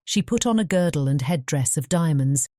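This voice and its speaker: A woman's voice: sits low, expressive, normal speed, dark tone, no room sound, clean studio sound. A woman narrating a fairy-tale audiobook in a scripted storybook style.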